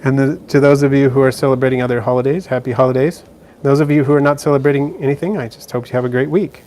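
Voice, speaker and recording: A man chatting through a relaxed live-stream Q&A from beside his terrarium. His voice sits at an ordinary middle pitch. He talks easily, full of "um"s and little restarts. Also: quick pace. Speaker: live stream host answering viewer questions. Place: indoors beside a frog terrarium.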